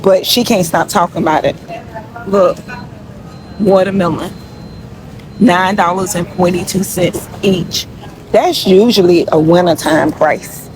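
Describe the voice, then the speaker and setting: A woman's voice: lowish voice, a little slow, pauses often, music in the background. A woman talking casually to her followers in an emphatic, folksy way, as if showing them around. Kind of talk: casual complaint vlog to followers. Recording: noisy ambient background.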